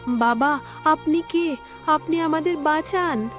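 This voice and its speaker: A woman's voice: high voice, slow pace, soft background music. A woman voicing a young princess in a fairy-tale cartoon, earnest and imploring. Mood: frightened plea. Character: young princess, pleading and ashamed. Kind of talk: melodramatic cartoon acting.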